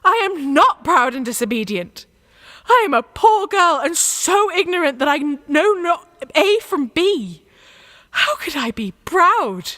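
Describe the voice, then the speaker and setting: Woman doing a devout young peasant warrior girl, headstrong and insistent, high voice that swings about a lot, radio play. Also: sing-song, a little slow, pushed and bright, full character voice. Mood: distressed, pleading. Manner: impassioned, insistent, plain-spoken.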